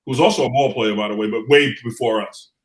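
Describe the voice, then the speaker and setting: A man's voice: mid-range voice, fast talker. Middle-aged man, from New York City, mid-range voice, conversational interview storytelling, emphatic repetition, echoey room. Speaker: guest, former city council member.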